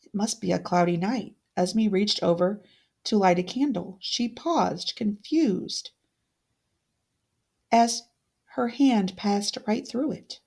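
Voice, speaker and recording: A woman reading a fairytale aloud as a storyteller, with deliberate, dramatic expression. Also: mid-range voice, creaky, dark tone, husky.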